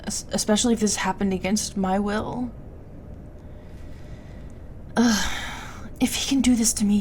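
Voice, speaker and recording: A woman playing a distressed, apologetic but stubborn young woman in an intimate audio roleplay, stammering and halting. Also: medium pitch, a little mellow, a little hiss or hum, close to an everyday voice. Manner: halting, stammering, breathy asides to a partner.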